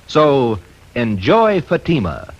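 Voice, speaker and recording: Male 1950s radio ad announcer, emphatic and animated, husky mid-range voice, muffled vintage recording. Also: slow. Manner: emphatic, polished pitchman delivery. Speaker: commercial announcer. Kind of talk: 1950s radio advertising read.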